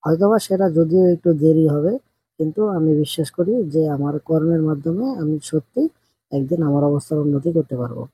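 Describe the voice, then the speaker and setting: A man's voice: on the high side, moderate pace, dark tone, breathy edge. A man voicing a poor, kind-hearted, hardworking village farmer in an earnest, plain-spoken way for an amateur cartoon story. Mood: earnest, hopeful.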